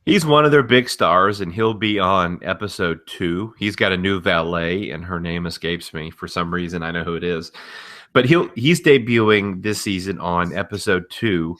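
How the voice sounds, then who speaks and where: A man's voice: low voice, moderate pace, a bit bright. A man co-hosting a podcast, explaining things in a casual, knowledgeable way. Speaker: podcast co-host.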